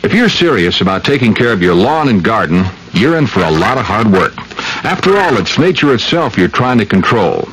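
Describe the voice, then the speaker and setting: A man with an American accent reading a scripted radio advertisement as a pitchman. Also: sing-song, a little fast. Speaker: commercial pitchman. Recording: slightly noisy old radio broadcast.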